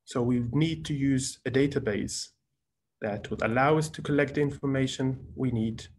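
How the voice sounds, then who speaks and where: A man in his thirties calmly explaining the choice of databases in an online workshop talk. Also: mid-range voice, normal speed, a little hiss or hum. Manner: calm, explanatory. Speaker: presenter. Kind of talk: lecture presentation on a video call. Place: small office indoors. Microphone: webcam on a video call.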